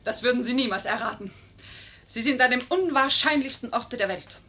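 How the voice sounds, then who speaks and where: A woman's voice: on the high side, fairly quick, pushed and bright. An actress in a 1950s radio play as a witty, teasing, self-assured young lady posing as an officer. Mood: teasing, mock serious.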